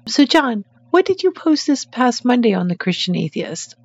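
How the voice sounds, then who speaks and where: A woman's voice: on the high side, sing-song, moderate pace, darkish tone, highs cut off. A woman co-hosting a podcast in casual, agreeable conversation. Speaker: podcast co-host.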